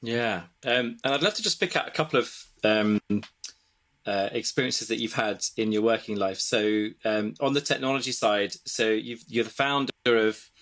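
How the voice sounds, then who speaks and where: A man's voice: on the low side, normal speed, fairly bright tone. A man hosting a podcast, asking casual, loosely phrased questions with fillers. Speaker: podcast host. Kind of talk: interview questions.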